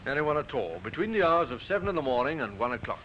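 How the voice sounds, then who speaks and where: Businesslike police inspector, muffled radio. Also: male voice, on the high side, very animated. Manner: measured, businesslike questioning. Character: brisk, probing male Scotland Yard inspector. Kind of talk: old-time radio drama acting. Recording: muffled, noisy old radio broadcast.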